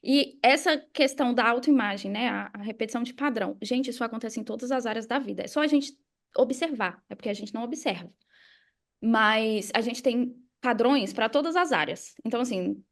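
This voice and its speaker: A woman's voice: sits high, fairly bright tone. A woman with a Brazilian accent explaining things casually as a podcast guest, emphatically posing questions and answering them herself. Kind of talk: casual explanatory interview talk.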